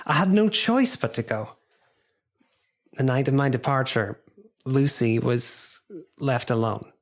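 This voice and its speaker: Earnest Victorian gentleman doctor, grave storyteller. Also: medium pitch, lively intonation. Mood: grave recounting. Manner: grave, formal, measured storytelling. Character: earnest Victorian gentleman doctor narrating his fiancée's illness. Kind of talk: dramatic audio drama narration.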